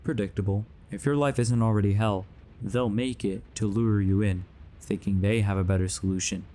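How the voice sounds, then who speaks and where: A man's voice: fairly low pitch, steady tone, normal speed, warm tone, lightly acted. A man playing a grave, earnest believer warning others of a sinister conspiracy in an audio drama. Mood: stern warning. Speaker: voice actor.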